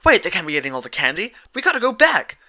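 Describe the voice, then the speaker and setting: A man voicing a hyper, cheerful young female pink pony, bubbly and excitable, in a story reading. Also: high voice, quick pace, pitched above the performer's own voice, heavily acted. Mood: sudden alarm.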